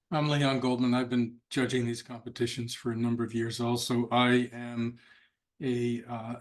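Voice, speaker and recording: An older retired man, a competition judge, introducing himself formally and steadily. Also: mid-range voice. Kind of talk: self-introduction.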